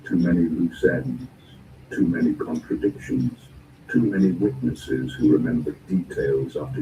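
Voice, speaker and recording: A man delivering a scripted spoken-word motivational narration in deliberate, measured phrases and short emphatic statements. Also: rumbling low. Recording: roomy, distant, clearly noisy recording.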